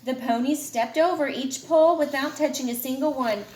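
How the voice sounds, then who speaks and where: A woman's voice: high voice, normal speed, echoey room, a little hiss or hum. A woman reading a children's picture book aloud to a child, expressively. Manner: expressive, puts feeling into the dialogue. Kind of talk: read-aloud storytelling to a child.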